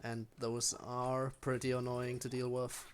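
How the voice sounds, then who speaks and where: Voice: medium pitch, completely flat delivery, a little slow, a little hiss or hum. A game streamer giving casual live gameplay commentary, talking half to themselves and half to the enemies on screen.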